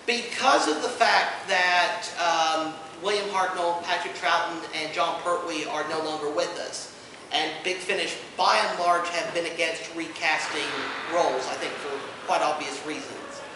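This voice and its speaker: A man giving an informal panel presentation to an audience, an enthusiastic explainer who speaks haltingly with many asides. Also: on the high side. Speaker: convention panelist presenting audio drama releases. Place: indoor convention panel room. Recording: echoey room from far off, noisy.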